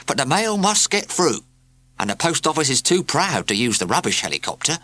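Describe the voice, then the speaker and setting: A man's voice: a little high, very animated, fairly quick, sharp tone, dry recording, voice pushed up, heavily acted. Man doing a moaning worn-out postman. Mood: lamenting. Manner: lamenting, self-pitying, jokey. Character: weary, complaining male mountain postman.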